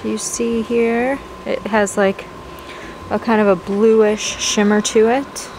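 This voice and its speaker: A woman's voice: mid-range voice, little pitch movement, slow pace. A woman giving casual, chatty shopping vlog commentary, appreciative and half talking to herself as she browses, recorded on a handheld camera in a large store garden center. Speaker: vlogger, host. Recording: clear store background noise, ventilation hum.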